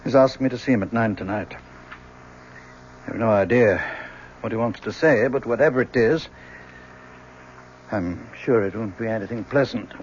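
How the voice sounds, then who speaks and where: A man's voice: fairly low pitch, normal speed, long pauses, lightly acted. An actor playing a weary, genteel older professor and father in an old-time radio drama, hesitant and donnish in his phrasing. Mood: gently grave. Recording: muffled, noisy old radio recording.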